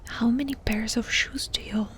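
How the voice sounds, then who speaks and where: A woman doing ASMR in a breathy whisper, softly and intimately asking questions with pauses between them. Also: a little high, steady tone. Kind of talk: whispered ASMR personal-attention roleplay.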